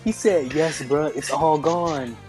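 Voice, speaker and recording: Young guy joking and griping with his friends, slangy, highish voice, loud music over it. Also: slow. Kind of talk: casual banter and storytelling among friends.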